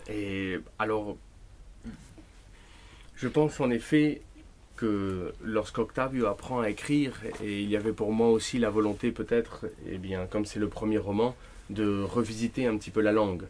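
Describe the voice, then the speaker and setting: A man whose first language is Spanish, an author talking at a bookshop event, thoughtful and deliberate, often restarting his words. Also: fairly low pitch, a little mellow. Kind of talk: bookshop talk, reading and answering.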